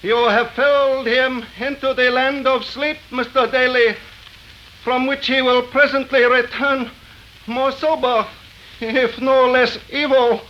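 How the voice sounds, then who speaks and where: An actor playing a humble, cryptic man, speaking in solemn, halting proverbs in an old radio melodrama. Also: extremely high pitch, flat delivery. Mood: grave, humble. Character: serene, fatalistic old-world Chinese man, humble and cryptic. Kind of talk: 1930s radio melodrama acting. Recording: dull, noisy 1930s radio recording.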